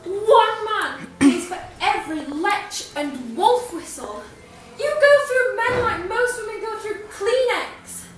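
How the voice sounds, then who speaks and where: A woman's voice: very high voice, animated, slow, faint music behind, pitched above the performer's own voice, heavily acted. A woman performing a dramatic stage monologue as an angry, accusing young woman berating her housemate, scornful and emphatic. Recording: very echoey noisy room, distant mic.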